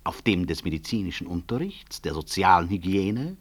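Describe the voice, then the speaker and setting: Proud, blunt director, animated low voice. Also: male voice, moderate pace, no room sound. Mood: cool, polite. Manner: precise, curt, ironic. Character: proud, upright medical director, dry, blunt, unbending. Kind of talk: 1960s German radio drama acting.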